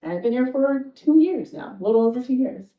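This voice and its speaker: A woman from New Jersey introducing herself on a panel in a clear, composed way. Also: high voice, lively intonation, brisk. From New Jersey, United States. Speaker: panelist, flight director. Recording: echoey room, distant dull mic.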